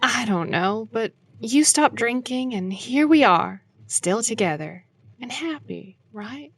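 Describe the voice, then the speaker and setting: A woman's voice: a little high, expressive, slow pace, slightly rough, no room sound, full character voice. A woman playing an older wife and grieving mother, frank and consoling, in an audio drama. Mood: sombre, consoling.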